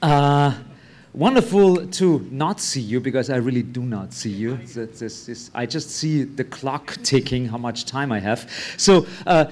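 A man's voice: medium pitch, lively intonation, unhurried, darkish tone. A man with an Austrian German accent giving a live talk on stage, blunt, with lots of filler sounds and the odd curse word. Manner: hesitant, many uh fillers, blunt with curse words. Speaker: conference speaker. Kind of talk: live talk without slides.

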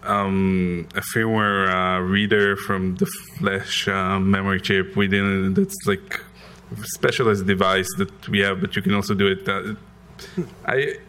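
A man with an Israeli accent answers audience questions after his conference talk. He speaks slowly in a lowish voice, pausing with ums and restarting his sentences. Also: flat delivery, pushed and bright, smooth. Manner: hesitant, many ums, restarts, light self-deprecating laughs.

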